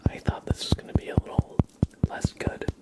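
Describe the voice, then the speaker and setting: A man doing a whispered ASMR livestream, chatting to viewers in a breathy, playful, teasing way with dry humor. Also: brisk. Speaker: ASMR live streamer.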